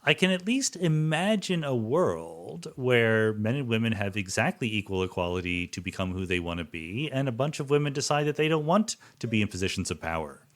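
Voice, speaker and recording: A man's voice: mid-range voice, animated, a little fast, hardly any pauses. A man hosting a conversational podcast interview, thinking aloud in a reflective, careful way. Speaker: podcast host interviewing a guest.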